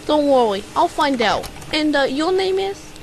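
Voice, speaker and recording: Woman playing a high-pitched, pompous bumbling private detective. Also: sing-song, a little slow, slight background noise, heavily acted. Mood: eager, officious. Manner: pompous, theatrical. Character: bumbling, self-important private detective named Danny. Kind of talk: hammy amateur radio drama detective narration.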